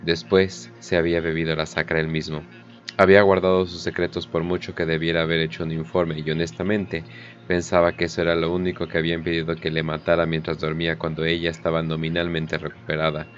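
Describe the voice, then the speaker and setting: A man's voice: deep voice, completely flat delivery, quick pace, dull audio, soft background music. A man narrating a scripted war story. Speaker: audiodrama narrator.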